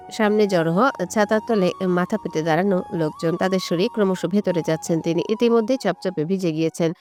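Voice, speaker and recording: A woman narrates a dramatic scripted story. Her voice sits at a middle pitch, and background music plays under her reading.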